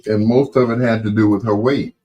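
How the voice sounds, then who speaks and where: A man's voice: lowish voice, steady tone, fairly quick, mellow, soft background music. A man hosting a casual late-night chat stream, rambling along in a laid-back way.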